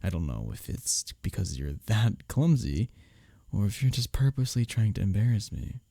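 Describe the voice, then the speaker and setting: A man playing a smug, taunting office rival in an intimate roleplay audio drama, sardonic and teasing, with a husky low voice. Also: big pitch swings, normal speed, dark tone, no echo, heavily acted. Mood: sardonic, needling. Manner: sardonic, teasing, needling, with breathy chuckles.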